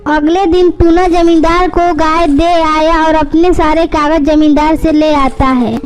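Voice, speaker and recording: A woman's voice: high-pitched, level tone, unhurried, darkish tone, faint music behind. A woman reading scripted narration for a children's cartoon story.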